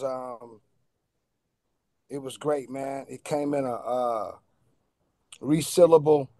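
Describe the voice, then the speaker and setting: Halting man chatting casually, dry sound. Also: mid-range voice, a little mellow, dry recording. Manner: halting, pausing often mid-sentence. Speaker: radio guest, cannabis strain reviewer. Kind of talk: casual chat.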